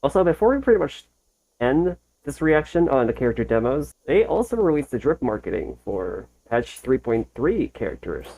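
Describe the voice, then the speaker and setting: A reaction video host giving casual commentary in short, halting phrases, thinking aloud. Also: mid-range voice, very animated, dark tone, poor audio.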